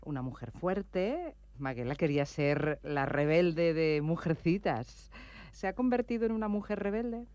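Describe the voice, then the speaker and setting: A woman interviewing a writer, asking scripted questions in a measured, composed presenter manner. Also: low-pitched, animated, darkish tone, no echo. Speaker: radio interviewer.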